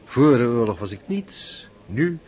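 An actor playing an ambitious, courteous young army lieutenant in a radio drama, speaking in a suave manner. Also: male voice, mid-range voice, big pitch swings, a little slow, a little mellow, dull audio. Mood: measured, suave. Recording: party crowd murmuring behind.

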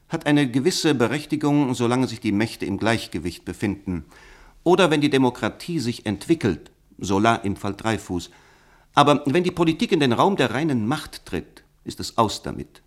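A man's voice: medium pitch, close to an everyday voice. An actor in a German radio play playing a worldly, cynical man who lectures pointedly with rhetorical questions.

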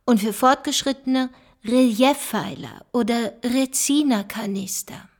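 A woman's voice: on the high side, a little slow. An actress playing an analytical, knowledgeable female investigator with dry wit, explaining clues in a radio drama. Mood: explanatory.

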